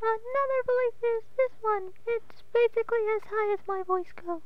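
A man's voice: normal speed, recorded from a distance, background noise. A man voicing a girlish female character at the top of his range. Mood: sweet. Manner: as high as he can go, girlish. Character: sweet little girlish female voice. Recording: muffled lo-fi home mic.

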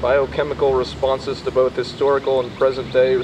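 A man's voice: mid-range voice, level tone, brisk. A man filming at an outdoor pool, talking in a dry, teasing way. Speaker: camera operator. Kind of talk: casual chat off camera. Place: outdoor swimming pool, behind the camera. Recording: outdoor noise.

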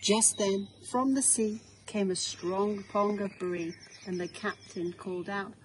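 A middle-aged woman with an English accent reads a children's storybook aloud in a measured, clearly phrased way. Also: mid-range voice, steady tone. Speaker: head teacher reading a picture book. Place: outdoors in a small garden. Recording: outdoor background noise.

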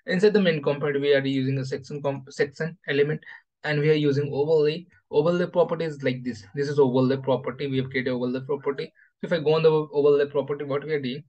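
Guy with a medium voice doing a casual coding tutorial, kinda halting, repeats himself a lot. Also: mid-range voice, a little mellow, highs cut off. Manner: halting, repetitive phrasing with frequent "you know". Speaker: host of a coding tutorial channel. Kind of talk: informal screen-share tutorial narration. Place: voice-over for a screen recording.

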